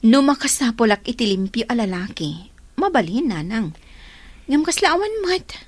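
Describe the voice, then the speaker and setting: A woman's voice: fairly high pitch, big pitch swings, normal speed, dry recording. A woman with an Ilocano accent playing a frank, lovelorn single woman in her thirties in a radio drama. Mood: disappointed. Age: 30s.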